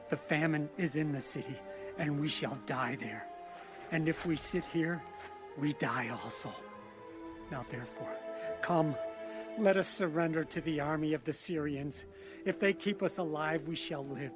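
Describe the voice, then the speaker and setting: An actor playing a desperate, starving leper reasoning with companions in an audio Bible drama. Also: male voice, a little high, a little slow, music in the background, natural voice. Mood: resigned.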